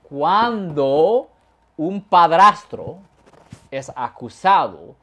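A man's voice: fairly high pitch, sing-song, extremely slow, a bit bright. Man, criminal lawyer, long explanations, switches into English words. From California, USA. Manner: explains at length, mixes English words into Spanish. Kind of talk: conversational legal explanation.

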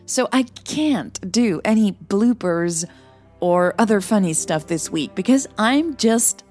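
A woman's voice: mid-range voice, expressive, slow, a little mellow, faint music behind. A woman hosting a podcast and narrating a romance story, sounding a little tired. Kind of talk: scripted romance narration and casual podcast outro.

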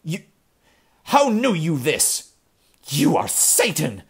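A cracked, high, panicky voice of a cringing old miser, as a male storyteller puts him on. He blurts each line loudly and in a rush of fear, his voice straining up past its usual pitch. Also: big pitch swings, slow, full character voice. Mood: panicked. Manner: frantic, cringing outbursts.

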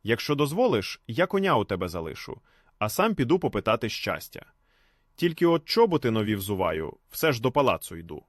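A bold Cossack hero from a storybook folk tale, acted by a male narrator. He is courteous and free-spirited, with a very animated melody to his speech. The sound is dry and close. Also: medium pitch, brisk, dry recording. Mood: resolute.

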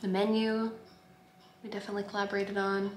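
A woman's voice: mid-range voice, monotone, quick pace, slight background noise. Woman vlogging casually in a roomy echo. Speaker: vlog host. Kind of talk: casual vlog chat.

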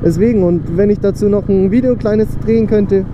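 Young man riding, loud wind and engine. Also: high voice, a little fast, muffled recording. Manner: casual, slangy, rambling with fillers. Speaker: motovlogger. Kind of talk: casual motovlog chat while riding. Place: outdoors on a motorcycle on country roads.

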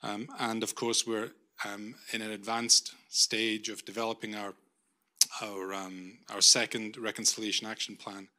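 A middle-aged man speaks into a handheld microphone in a lecture room. His voice is lowish and very bright. He gives a formal vote of thanks, stopping often with ums and restarts. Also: flat delivery, measured pace. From not Australia. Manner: hesitant, many ums and restarts. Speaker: university vice-chancellor.

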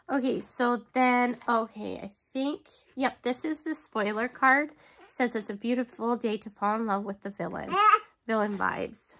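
A woman in her thirties, chatty and excitable, talking casually to camera at home while unboxing, with a stuffy nose and lots of ums. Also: medium pitch, gravelly, slight background noise. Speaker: book box unboxing vlogger. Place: bookshelf-lined room at home.

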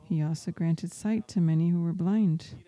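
Female live sermon interpreter, very soft-edged dark tone, halting phrasing. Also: fairly low pitch, no echo. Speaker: interpreter voicing a priest's homily in English. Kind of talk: live spoken translation of a sermon.

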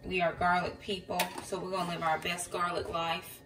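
A woman's voice: fairly low pitch, little pitch movement, normal speed. Mom doing a casual cooking video in her kitchen, talks step by step with ums, a bit far from the phone mic. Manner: chatty, explaining step by step with ums. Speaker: mom vlogger. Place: home kitchen counter indoors. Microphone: phone camera pointed down at the counter. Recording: voice a bit far from the mic, faint background noise.

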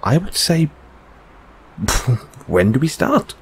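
A man playing a gentle, encouraging boyfriend in a comfort audio roleplay, speaking softly and haltingly with reassuring tag questions. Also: a little high, very animated, breathy edge, exaggerated voice. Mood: musing.

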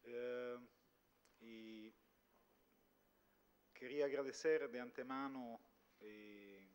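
A man's voice: medium pitch, fairly even intonation, very slow. A man, giving a formal conference presentation in a language that is not his first, hesitant and full of filler sounds, seated at a table microphone in a large hall. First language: Italian. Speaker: company executive speaker.

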